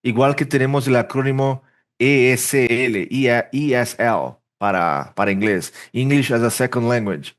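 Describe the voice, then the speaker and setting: A man from Brazil, a native Portuguese speaker, talks through his ideas in a relaxed interview. His voice sits at a middle pitch, and he often restarts his sentences and fills pauses as he goes. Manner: rambling, self-correcting, thinks aloud with fillers. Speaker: interpreter and language teacher, podcast guest. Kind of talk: casual interview conversation.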